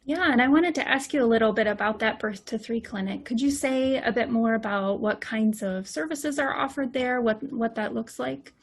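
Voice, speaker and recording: A woman hosting an interview, reading a prepared introduction and asking her guest questions in a clear, measured way. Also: fairly high pitch. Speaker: interview host.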